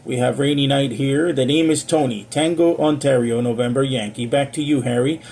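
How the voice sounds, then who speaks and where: A man chatting casually over amateur radio as an operator, courteous and measured in his radio procedure. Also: mid-range voice, steady tone, darkish tone. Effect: amateur radio transmission. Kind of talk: casual two-way radio chat. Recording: radio audio with faint hiss.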